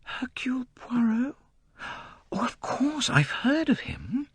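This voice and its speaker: A man voicing a shy, proud young woman who speaks softly with a faint tremor. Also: on the high side, huge pitch swings, normal speed, husky, dry recording, pitched above the performer's own voice, heavily acted. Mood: hushed. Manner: soft, faintly trembling.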